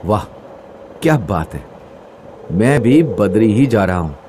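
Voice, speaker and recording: An actor playing a young male train passenger in a Hindi animated horror story, nervous and expressive. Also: fairly low pitch, slow, noisy background. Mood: friendly, curious. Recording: music under the dialogue. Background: music.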